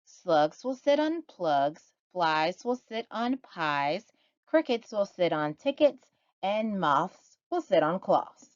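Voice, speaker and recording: Bossy little storybook frog done by a lady, sing-song and smug, swoopy pitch, slightly dull recording. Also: very animated, slow pace, dry recording. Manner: commanding, matter-of-fact, sing-song rhyming.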